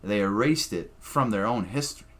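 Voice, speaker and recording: A man's voice: on the low side, expressive, normal speed, a bit bright. A man, a comedian guest on a podcast, talking casually in a blunt, profane, opinionated way.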